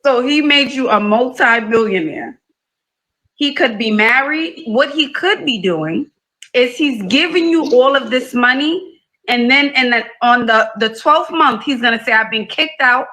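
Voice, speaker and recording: A woman's voice: a little high, expressive, unhurried, bright, forceful tone, poor audio. A woman hosting a live call-in dating show, asking a caller pointed, probing questions.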